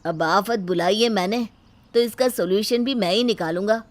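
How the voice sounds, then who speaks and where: A harried middle-aged household father, acted by a woman, speaks at a medium female pitch. He grumbles but stays polite, as a character in a domestic moral tale. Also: normal speed, natural voice. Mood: resolved.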